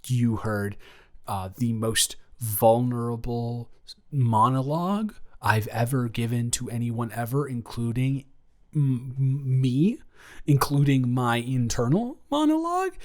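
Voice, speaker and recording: A man's voice: mid-range voice, animated, slow pace, a little mellow. A man playing a nervous, lovestruck young man confessing to his best friend in an improvised romantic audio roleplay, stammering and rambling as he goes. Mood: flustered, defensive.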